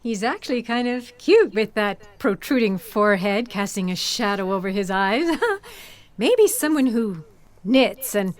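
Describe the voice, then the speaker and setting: A woman's voice: medium pitch, lively intonation, unhurried, natural voice. An actress playing a nervous, self-doubting young woman scientist in sci-fi audio theatre, hesitant and self-conscious. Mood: doubtful, inward.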